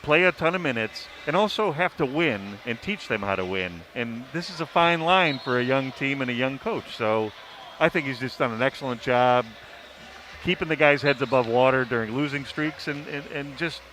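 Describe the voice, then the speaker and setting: A man's voice: mid-range voice, animated, normal speed, sharp tone. A man co-hosting a casual sports panel with a wry, joking manner, some venue noise behind him. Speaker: sports writer and panel co-host. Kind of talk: casual sports broadcast chat.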